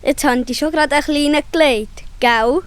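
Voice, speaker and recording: Woman doing a high voiced cheeky little animal boy, good humoured, swiss german, kids story, dry recording. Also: fairly quick, dry recording, lightly acted. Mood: teasing. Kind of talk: children's audio story acting.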